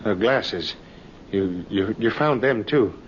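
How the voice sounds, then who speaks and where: An actor playing an evasive farmer accused of murder in an old radio drama, halting and protesting. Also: male voice, lowish voice, lively intonation. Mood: halting, distressed. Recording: muffled, noisy vintage radio recording.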